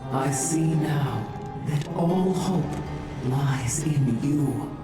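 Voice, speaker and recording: Echoing, low-voiced serene archangel. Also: female voice, drawn-out words. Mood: solemn, grateful. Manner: stately, solemn, formal. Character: serene, noble female archangel of hope. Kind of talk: dramatic video game acting. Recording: reverberant, distant, music behind.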